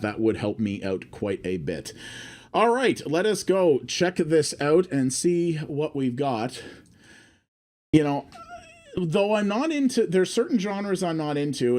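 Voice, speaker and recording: A man's voice: mid-range voice, a little mellow. A man casually thinking aloud as he streams his first look at a game, chatting through the menus and settings. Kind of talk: casual live game first-impressions commentary.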